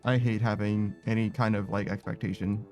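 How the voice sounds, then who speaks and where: A man's voice: lowish voice, flat delivery, a little mellow. Young man, casual stream chat, rambling, restarts, faint music behind. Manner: rambling, halting, many restarts. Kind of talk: casual chat while gaming.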